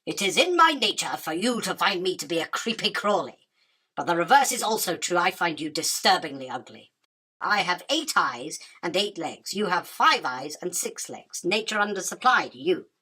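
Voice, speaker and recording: Spider queen for radio play, female actor: crisp-edged bright tone, theatrical, self-important and scornful, always hungry. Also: fairly low pitch, very animated, moderate pace, exaggerated voice. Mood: imperious, self-justifying. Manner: imperious, dismissive, self-justifying. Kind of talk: theatrical radio drama acting.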